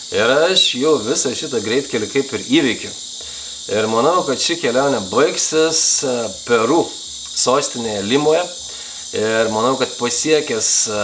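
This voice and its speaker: A man's voice: medium pitch, noisy background. Lithuanian-accented man, crickets chirping behind. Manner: relaxed, conversational, frequent "you know". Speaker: travel vlogger. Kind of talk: casual answers to viewer questions. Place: under a roof outdoors at night in the rainforest. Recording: outdoor night with loud insects. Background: crickets and insects.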